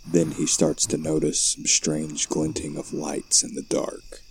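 A man's voice: very deep voice, completely flat delivery, unhurried, vocal fry, low-quality recording. A man reading scripted narration for a horror audio play, with night insects behind him. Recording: faint background noise.

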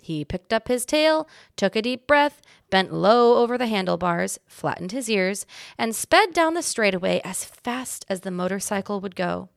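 A woman's voice: medium pitch, animated, moderate pace, vocal fry, no room sound, clean studio sound. A woman narrating a children's storybook expressively as a guest reader.

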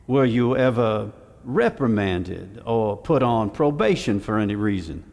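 A man playing a courteous, methodical senator at an inquiry in an audio drama, formal and measured. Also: on the low side, lively intonation, gravelly, a little mellow. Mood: courteous, probing. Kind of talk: fiction podcast audio drama. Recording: echoey hall with long reverb.

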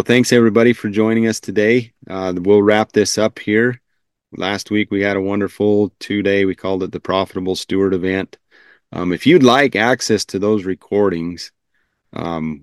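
Deep, steady-voiced podcast host. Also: male voice, dry recording, clean studio sound. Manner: earnest, affirming, frequent backchannels. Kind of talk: interview hosting. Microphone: video call.

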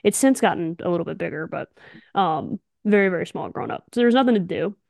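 A woman's voice: on the low side, expressive, fast, creaky, darkish tone, no room sound. Enthusiastic young woman, close dry sound. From Alabama, United States. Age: young adult. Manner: enthusiastic, emphatic, slangy. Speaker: podcast co-host, softball player. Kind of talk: casual podcast chat.

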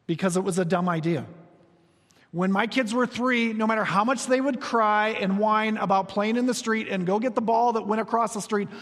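A man in his forties preaching a sermon, telling stories with humor and moving between soft, slow passages and quick, lively ones. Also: sits high. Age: 40s. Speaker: pastor. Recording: long reverb of a large hall.

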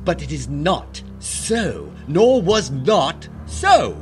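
Villainous gentleman bridegroom, radio fairy tale. Suave, exaggerated, unhurried, hugely varied pitch. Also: big pitch swings, soft background music, heavily acted. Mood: uneasy, impatient. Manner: courtly, archaic, exaggerated delivery.

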